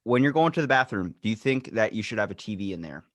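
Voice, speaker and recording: A man's voice: fairly low pitch, lively intonation, fast, no room sound. A man hosting a casual podcast chat, loose and profane, telling stories with quoted back-and-forth. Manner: loose, swearing, tells stories with quoted dialogue. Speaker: podcast host.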